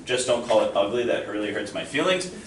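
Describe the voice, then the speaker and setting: A man's voice: fairly low pitch, expressive, brisk. A young man teaching a math lesson to a class in a friendly, encouraging, self-deprecating way. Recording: echoey classroom from far off, noisy.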